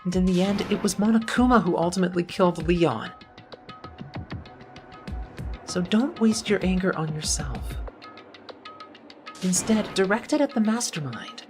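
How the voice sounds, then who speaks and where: Woman as earnest, low-voiced teenage schoolboy, music behind. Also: animated, normal speed, distant mic, natural voice. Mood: earnest, consoling. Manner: earnest, consoling. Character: earnest, gentle teenage schoolboy narrating his ordeal. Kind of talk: anime game fandub acting. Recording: music under the voice.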